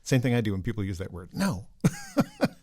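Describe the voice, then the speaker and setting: Quick-talking male podcast host. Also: mid-range voice, huge pitch swings, darkish tone, breathy edge. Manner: quick, chatty, jokey rants. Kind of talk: casual interview chat.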